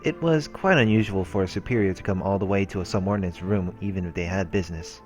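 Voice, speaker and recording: A man with a very low voice reads a visual novel aloud for a let's play, slipping between narration and offhand comments. Faint music plays behind him. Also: quick pace, darkish tone. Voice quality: low-pitched. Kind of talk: read-aloud narration with casual asides. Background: game music.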